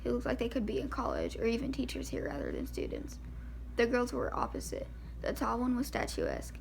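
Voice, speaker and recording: A woman's voice: fairly high pitch, wildly swooping pitch, brisk, vocal fry, darkish tone, slight background noise. A woman reading a first-person novel aloud as an amateur, informally and with stumbles over the words. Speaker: amateur audiobook reader.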